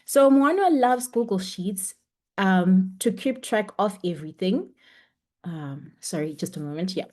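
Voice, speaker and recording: A woman's voice: mid-range voice, lively intonation, measured pace, a little mellow. A young woman teaching a webinar over a video call, speaking in a chatty, encouraging way with frequent ums. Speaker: webinar instructor. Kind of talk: online lecture. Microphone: computer mic on a video call.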